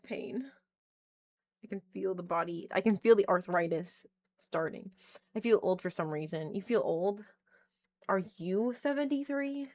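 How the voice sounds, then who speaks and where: A woman's voice: medium pitch, measured pace, pauses often, a little mellow. Chatty woman streamer in her thirties. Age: 30s. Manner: chatty, laughs often, reads chat aloud while tinkering. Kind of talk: casual live-stream chat. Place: indoors at home, working on a keyboard.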